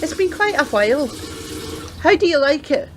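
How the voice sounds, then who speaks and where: A woman's voice: high-pitched, very animated, moderate pace, very noisy. An actress playing a chatty, doting and cheeky old grandmother in a radio play, fussing and teasing as she talks. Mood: warm, reminiscing.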